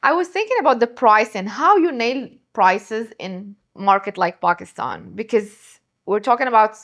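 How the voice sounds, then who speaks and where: A woman's voice: on the high side, expressive, normal speed, fairly bright tone. A woman from Morocco hosting a podcast interview, friendly, with loose phrasing and frequent small laughs. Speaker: podcast host.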